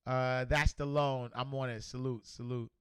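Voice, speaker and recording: Man, New York accent, loud, energetic, bright forceful voice, smooth and resonant, livestream host. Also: medium pitch, normal speed. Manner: loud and energetic, reads comments aloud. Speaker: livestream host answering viewer questions. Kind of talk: casual livestream chat. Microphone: desk microphone.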